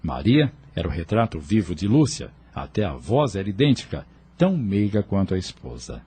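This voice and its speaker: A man narrating an audio drama in a measured storytelling manner. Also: low-pitched, big pitch swings, warm tone, husky.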